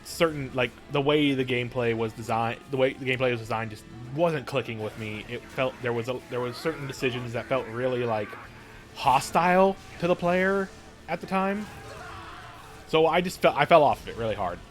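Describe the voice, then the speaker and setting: A man's voice: mid-range voice, moderate pace. Male game streamer, mid-range voice, casual and rambling, informal wording, music underneath. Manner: rambling, often circles back to rephrase; informal, occasionally profane. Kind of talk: casual live-stream commentary.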